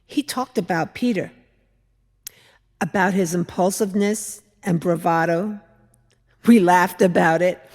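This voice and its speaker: A woman performing a dramatic monologue as a skeptical older mother-in-law from biblical times, telling her memories in a deliberate, expressive, reflective way. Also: medium pitch. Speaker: dramatic reader at a church service. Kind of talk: dramatic monologue, measured storytelling. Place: large church interior. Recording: echoey church hall, long reverb.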